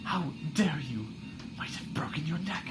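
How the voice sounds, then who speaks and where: A man puts on an outraged schoolmistress in a storybook reading. She is stern and commanding, with sharp, clipped orders pitched above plain narration. Loud music runs underneath. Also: lively intonation, unhurried.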